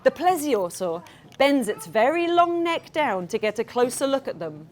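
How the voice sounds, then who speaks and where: A woman children's author reading her story aloud to young listeners in a playful, expressive style. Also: sits high, normal speed. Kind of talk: animated storytelling to a live audience of children.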